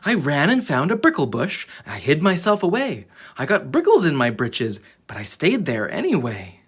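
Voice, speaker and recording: A storyteller with a husky voice reading a children's book aloud in a dramatic, expressive way. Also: mid-range voice, normal speed. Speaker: children's story reader and channel host.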